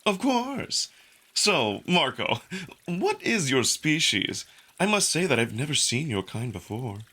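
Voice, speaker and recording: A man's voice: a little high, big pitch swings, slow pace, bright, forceful tone, slightly rough, voice pushed up, heavily acted. A man voicing a suave, smugly curious male jackal alien in a visual novel, with polite, needling, unruffled delivery. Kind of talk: visual novel character acting.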